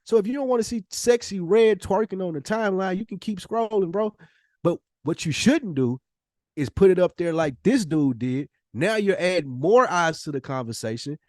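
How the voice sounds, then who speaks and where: Casting: man, fairly high-pitched, emphatic and sweary podcast debater, very dry sound. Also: lively intonation, moderate pace, darkish tone, dry recording. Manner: emphatic, argumentative, slangy, swearing. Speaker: podcast co-host. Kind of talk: casual debate, opinionated podcast talk.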